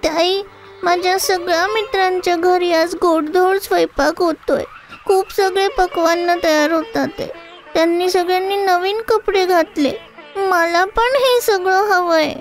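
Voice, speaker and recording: A little boy played by a woman in a children's story, whining and pleading in a very high, soft voice. Music plays behind. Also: fairly even intonation, a little slow. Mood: pleading, envious. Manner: plaintive, pleading. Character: whining, disappointed little child, younger brother.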